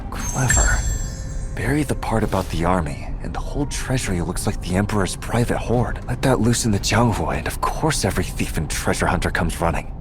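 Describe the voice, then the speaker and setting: A shrewd, level-headed adventurer reasoning through a game quest. His voice is low and dry, with an analytical delivery and clear music underneath. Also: animated, a little fast. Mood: dry deduction. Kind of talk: video game voice acting.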